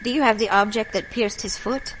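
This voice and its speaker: A woman's voice: medium pitch, a little fast, fairly bright tone, a little hiss or hum, natural voice, poor audio. An actress playing a kindly, knowing folk healer woman, motherly and commanding, in an audio horror drama. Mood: grave, urgent.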